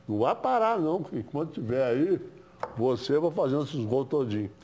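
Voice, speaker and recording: A man's voice: medium pitch, lively intonation, a little fast, darkish tone. An elderly Brazilian man in casual sports debate, insistently repeating his phrases. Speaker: sports panelist, former footballer and coach. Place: TV studio.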